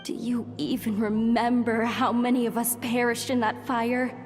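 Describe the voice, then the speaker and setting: A woman's voice: on the high side, level tone, moderate pace, soft background music, close to an everyday voice. An actress voicing a bitter ghostly woman accusing a lone survivor. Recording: reverberant game audio.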